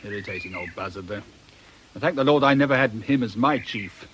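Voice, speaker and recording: A British actor playing a dry, sardonic police inspector in a radio drama, conversational and probing. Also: male voice, fairly low pitch, brisk, background noise, close to an everyday voice. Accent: British English.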